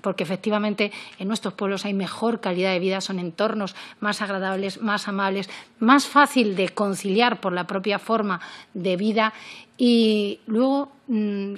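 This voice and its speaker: A middle-aged woman with a central Spanish accent giving a formal political speech at a committee desk microphone, earnest and somewhat halting as she works from notes. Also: medium pitch. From Castilla-La Mancha, Spain. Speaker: member of parliament. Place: parliamentary committee room. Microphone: gooseneck desk microphone.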